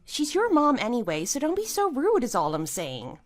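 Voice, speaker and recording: High-voiced, put-upon middle-aged wife, acted by a woman. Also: wildly swooping pitch, moderate pace. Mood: defensive. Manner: reasoning, defensive, exasperated. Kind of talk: scripted audio drama dialogue.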